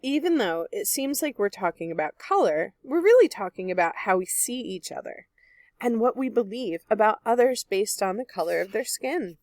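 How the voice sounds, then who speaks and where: A woman reads a picture book to young children in the manner of a teacher. Her voice sits at a medium pitch and she speaks clearly and expressively. The sound is dry, with very little room in it. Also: animated, moderate pace, a little mellow, no room sound. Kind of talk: children's read-aloud narration.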